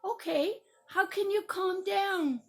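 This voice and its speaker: A woman playing a patient teacher who gently and deliberately coaches a child in a children's role-play story. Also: very high-pitched, full character voice. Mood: encouraging. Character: patient, soothing adult teacher guiding upset child.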